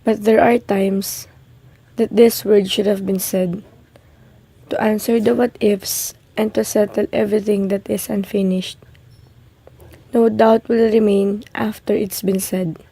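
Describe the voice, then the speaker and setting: A woman reading a scripted reflective monologue in a solemn, measured way for a radio drama. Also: mid-range voice, little pitch movement, gravelly, dark tone, husky.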